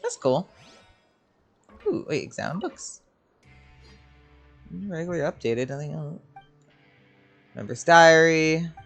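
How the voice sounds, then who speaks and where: A woman's voice: low voice, sing-song, slow pace, vocal fry, pauses often, faint music behind. A woman giving casual, slangy live commentary as she plays a game. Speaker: game streamer reacting.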